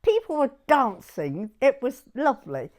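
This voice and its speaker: A very old woman with a southern English accent shares memories in a chatty oral history interview. Her pitch moves up and down with great animation, while her pace stays slow. She often doubles back and repeats her words. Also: huge pitch swings, darkish tone. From southern England. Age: 90s. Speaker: interviewee recalling her youth.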